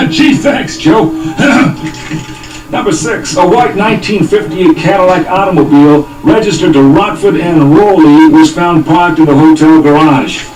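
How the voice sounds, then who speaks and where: An actor playing an earnest police detective who reads out numbered facts like an official report, in a parody of old police radio drama. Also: male voice, fairly high pitch, unhurried, a little mellow, far from the mic, lightly acted. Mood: earnest, eager. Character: earnest, eager police detective reporting to his senior partner. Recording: roomy cassette copy with music behind.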